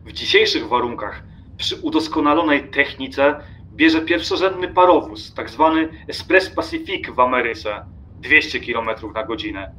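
An actor playing an irritable, contemptuous train passenger in an audio drama, lecturing obsessively and emphatically. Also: male voice, medium pitch, animated, normal speed, pushed and bright, a little hiss or hum. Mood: scornful, challenging.

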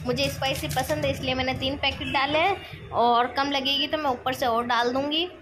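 A woman's voice: high voice, steady tone, normal speed. A woman with a sore, scratchy throat, chatting through a cooking demonstration in her home kitchen and explaining each step. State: sore throat, clearing it often. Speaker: cooking vlogger. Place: home kitchen at a gas stove.

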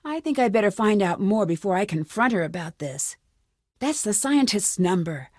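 Casting: plucky young female sleuth, video game lead, mid-range voice, quick-witted self-talk, very dry studio sound. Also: mid-range voice, big pitch swings, a little mellow, no room sound. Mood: focused. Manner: clearly enunciated, thinking aloud. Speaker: game protagonist.

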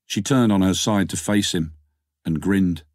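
A man's voice: low-pitched, unhurried, dry recording, clean studio sound. A man reading a short story as an audiobook narrator, in scripted narration.

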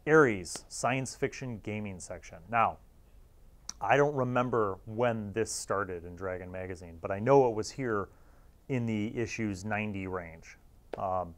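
A man in his forties with a lowish voice talks to camera as the host of a gaming review series. His delivery is casual and rambling, that of an enthusiastic fan looking back fondly. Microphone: lapel mic.

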